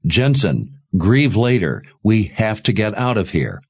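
Male reader as a steady young man urging escape, husky and low. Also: a little slow, highs cut off, heavily acted. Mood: urgent. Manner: firm, pressing, earnest.

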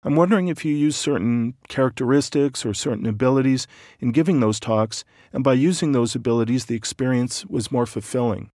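Calm male audiobook narrator. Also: medium pitch, dry recording, studio quality. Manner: calm, measured, explanatory.

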